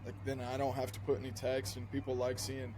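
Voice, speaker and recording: A man's voice: mid-range voice, monotone, brisk. Laid-back man hosting, noisy background. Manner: laid-back, filler-heavy, swears casually. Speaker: podcast host. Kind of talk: casual interview chat.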